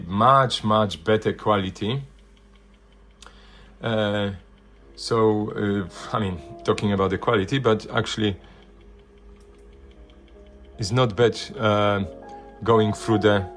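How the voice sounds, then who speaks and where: A man presenting a casual hands-on product demonstration, thinking aloud in loose, halting phrases. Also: fairly low pitch, fairly even intonation, a bit bright, faint music behind. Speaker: vintage hi-fi video presenter.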